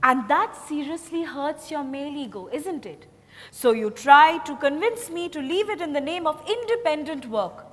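An Indian-accented woman playing a sharp, suspicious young businesswoman in a dramatized stage reading. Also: high-pitched, lively intonation, moderate pace. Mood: challenging. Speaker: actor. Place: large hotel ballroom stage, indoors. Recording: echoey hall stage recording.

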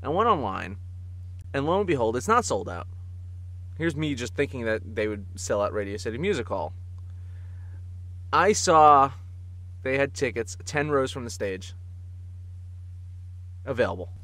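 A man co-hosting a podcast in casual comic chat, telling stories in an animated way and chuckling as he talks. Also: mid-range voice, unhurried, long pauses. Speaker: podcast co-host.

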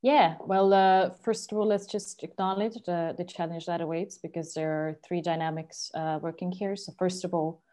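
Low, mellow young Hungarian-accented woman. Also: fairly even intonation, moderate pace, few pauses. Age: 20s. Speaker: interviewed energy policy consultant. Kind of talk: explanatory interview answer. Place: small room indoors, video call. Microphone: earphone headset.

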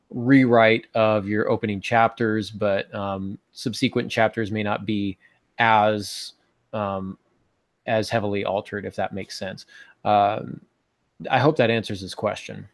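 Low-voiced man thinking aloud, dry sound. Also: dry recording. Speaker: podcast co-host and writing advisor. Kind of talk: casual advisory talk. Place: small home room with bookshelves, indoors. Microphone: video call on earphones.

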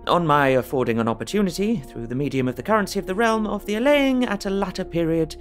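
An actor playing a polite Victorian gentleman, reading his journal in a formal, precise and wordy manner. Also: high-pitched, lively intonation, quick pace. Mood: precise, businesslike. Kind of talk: dramatic audio journal narration. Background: music.

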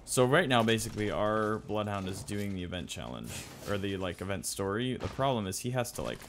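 A man's voice: lowish voice, lively intonation, a little fast. A man giving casual, rambling gameplay commentary as host of a gaming video. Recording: faint game noise behind voice.

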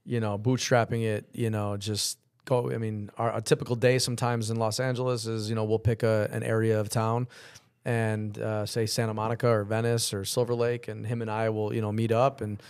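A man's voice: fairly low pitch, level tone, a little fast, a little mellow, clear, resonant tone. A middle-aged man chatting casually as a podcast guest on a studio microphone, talkative and conversational. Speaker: podcast guest, business owner. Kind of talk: casual chat. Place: podcast studio indoors with curtains. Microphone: studio mic on boom arm.

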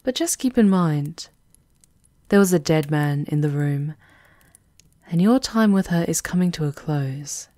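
Shrewd enchantress and royal advisor, fantasy ASMR, voiced by a woman: deep female voice, composed, formal, slow delivery. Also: animated, dark tone, no echo. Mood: grave, confiding. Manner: measured, knowing, formal. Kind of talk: ASMR roleplay acting.

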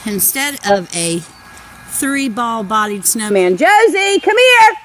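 A woman narrates her home video in an unhurried, chatty way and giggles often. Her voice rises and falls a lot as she talks. It sounds like it was recorded outdoors in the snow on a handheld phone camera. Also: on the high side, very animated, slight background noise. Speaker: vlogger filming her husband. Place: outdoors in a snowy yard.

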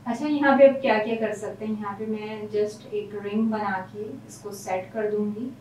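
A woman's voice: mid-range voice, steady tone, moderate pace, a little mellow, reverberant, far from the mic, slight background noise, low-quality recording. A woman hairstylist explaining each step of a hairstyle as she works in a salon. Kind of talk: hairstyling tutorial. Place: salon room.

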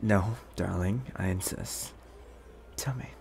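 Slow, low, doting rich boyfriend, acted by a man. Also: vocal fry, slight background noise, heavily acted. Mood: doting, coaxing. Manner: soft-spoken, unhurried, coaxing with pet names. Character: rich possessive young boyfriend, smooth, doting, quietly menacing. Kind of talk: ASMR boyfriend roleplay.

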